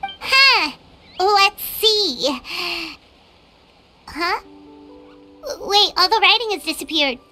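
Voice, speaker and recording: A woman voicing a tiny, feisty, childlike fairy companion in a video game, squeaky and exclamatory. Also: very animated, extremely slow, long pauses, pushed and bright, exaggerated voice. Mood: puzzled. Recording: game music behind the voice.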